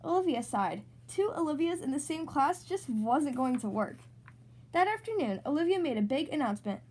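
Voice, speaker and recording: A woman hosts a read-aloud for kids. Her voice sits high and swings widely in pitch. She narrates in an expressive, sing-song storybook manner. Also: normal speed. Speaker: storybook reader, podcast host.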